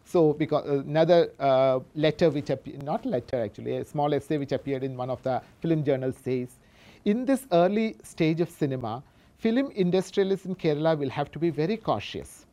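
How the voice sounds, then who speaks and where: Woman, low pitch, mellow dark tone, scholarly lecture, halting explanatory delivery, self-corrections. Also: expressive. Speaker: academic presenter reading a paper. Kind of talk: scholarly lecture read from a paper with spoken asides.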